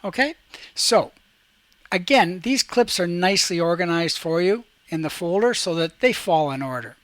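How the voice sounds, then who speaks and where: A man with a fairly high voice leads a step-by-step software lesson. He explains each action aloud as he does it, in an instructional manner, and the sound is dry and close. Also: lively intonation, moderate pace, dry recording. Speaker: teacher and tutorial host. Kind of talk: screen-recorded software tutorial, step-by-step instruction.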